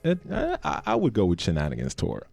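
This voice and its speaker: A man's voice: on the low side, wildly swooping pitch, fairly quick, vocal fry, darkish tone, husky, dry recording, faint music behind. A young man from Sweden hosting a podcast, chatting casually and playfully, laughing often and swearing freely. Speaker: podcast host. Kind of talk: casual chat.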